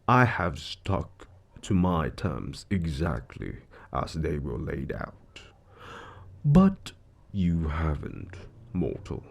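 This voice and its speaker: A man's voice: low-pitched, creaky, darkish tone, heavily acted. A man voicing a suave, gloating devil lord in an audio roleplay, theatrical and condescending, savoring his words as he toys with a mortal. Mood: amused menace. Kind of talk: scripted audio roleplay monologue.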